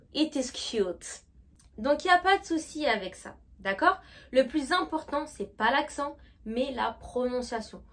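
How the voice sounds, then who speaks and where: A woman with a French accent leads an online English lesson in a high, light voice. She talks to the viewer directly and informally, and she stretches out each English model word so it can be repeated. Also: a bit bright. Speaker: English teacher.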